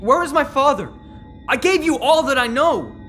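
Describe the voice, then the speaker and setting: A man's voice: extremely high pitch, animated, moderate pace, bright, forceful tone, soft background music, full character voice. A man playing a frustrated, defiant teenage boy in a sci-fi audio drama, argumentative and sarcastic. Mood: desperate, angry.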